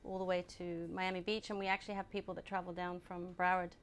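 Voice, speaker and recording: A woman born in England, answering interview questions at a steady, measured pace and often pausing on ums. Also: fairly low pitch, slight background noise. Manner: measured, hesitant with many ums. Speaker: guest, group founder.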